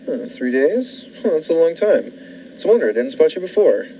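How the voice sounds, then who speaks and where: A man's voice: a little high, sing-song, brisk, slight background noise. A man playing a forward, folksy hotelkeeper courting someone in a 1940s-style radio drama, with an insinuating manner. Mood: flirtatious. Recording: dull lo-fi with music behind.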